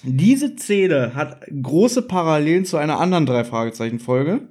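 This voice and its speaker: A man in a casual chat, recapping an audio drama as a podcast host and retelling scenes in an animated way. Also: a little high, moderate pace, breathy edge.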